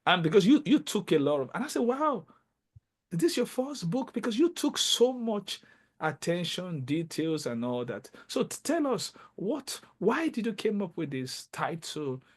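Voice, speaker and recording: A man hosting an interview podcast, speaking with enthusiasm and emphasis, often halting and repeating words. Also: high-pitched, big pitch swings, breathy edge. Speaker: podcast host.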